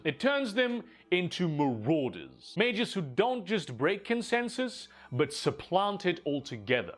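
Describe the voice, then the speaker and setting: A man's voice: a little high, fairly bright tone. A man narrating a scripted lore video with wry, dramatic storytelling.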